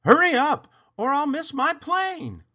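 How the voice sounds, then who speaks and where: A man's voice: very high-pitched, sing-song, unhurried, pushed and bright, muffled recording, voice pushed up, heavily acted. A man voicing impatient, grumpy storybook townsfolk who exclaim and complain in exasperation. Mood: impatient, shouting.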